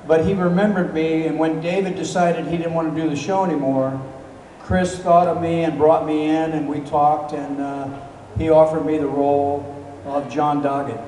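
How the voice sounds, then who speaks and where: A middle-aged man speaks into a handheld mic on a big stage, his fairly high voice rolling through a long-reverb hall. He rambles through his stories with plenty of fillers, heard from far back in the crowd with faint room hum. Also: normal speed. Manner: relaxed, rambling storytelling with many fillers. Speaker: convention guest actor. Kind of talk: live stage Q&A. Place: large convention hall, stage. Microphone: handheld mic, filmed from far in the crowd.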